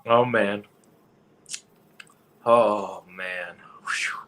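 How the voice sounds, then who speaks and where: A man in his twenties, a podcast guest chatting in a relaxed way, laughing easily and saying "like" often. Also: lowish voice, drawn-out words. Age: 20s. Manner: laid-back, laughs often, says "like" a lot. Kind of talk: casual livestream chat.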